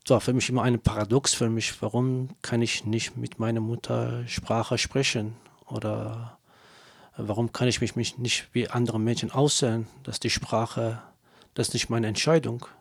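Guy from kurdish turkey, gravelly, halting speech full of uhs, dry sound. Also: medium pitch, little pitch movement, dry recording. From Kurdish region of Turkey. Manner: halting non-native German with many fillers. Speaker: singer, guest. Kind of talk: interview answers.

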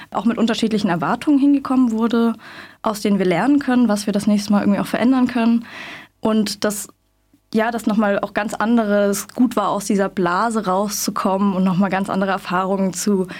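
Young woman activist as studio guest, mid-pitched, hesitant and thoughtful. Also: a little mellow. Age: young adult. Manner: thoughtful, many ums and restarts. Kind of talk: studio interview. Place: radio studio.

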